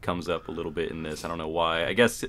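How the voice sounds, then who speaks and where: Male co-host with a distinctly low voice, informal fan talk, hedging as he offers opinions. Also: little pitch movement, fast talker, fairly bright tone, slight background noise. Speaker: podcast co-host. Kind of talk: casual opinionated fan chat.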